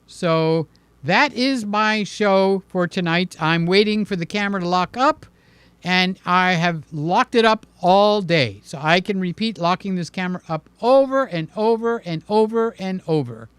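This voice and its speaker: A man's voice: high-pitched, measured pace, a bit bright, smooth, no echo. A man hosting a casual live stream, answering viewers and stressing his points with a repeated "okay?". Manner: emphatic, ends points with "okay?". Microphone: mic placed in front of him.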